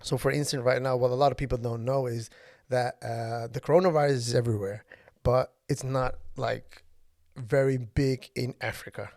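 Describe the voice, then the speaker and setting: Man in his thirties, gravelly voice, native Somali speaker, halting with lots of fillers, dry close sound. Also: mid-range voice, fairly even intonation, darkish tone, no room sound. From Ethiopia. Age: 30s. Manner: halting, many fillers and restarts. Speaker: podcast host. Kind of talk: casual solo podcast monologue.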